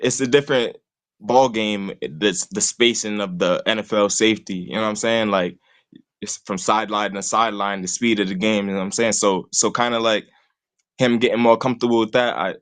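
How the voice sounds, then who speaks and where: A man's voice: fairly low pitch, fairly even intonation, a little fast, fairly bright tone. A man, talking sports casually and rambling, leaning on "you know what I'm saying" all the time. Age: 20s. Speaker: call-in guest host.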